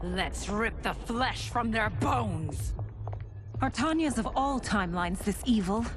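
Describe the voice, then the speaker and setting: An actress playing a fierce, confident warrior princess in a video game. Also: female voice, on the high side, lively intonation, takes it slowly, background noise. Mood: fierce, wry. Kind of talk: dramatic video game cutscene acting.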